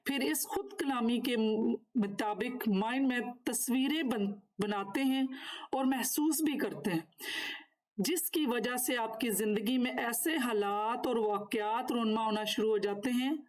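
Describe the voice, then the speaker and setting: A woman's voice: fairly high pitch, unhurried. A woman instructor giving a course lecture, partly reading from notes and partly explaining, in formal, instructive wording.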